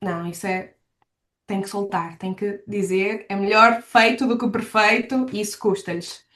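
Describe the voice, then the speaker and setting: A woman's voice: medium pitch, steady tone, measured pace, a bit bright. A woman with a European Portuguese accent giving conversational interview answers as a language teacher, explaining things and acting out quotes. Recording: roomy, echoey sound.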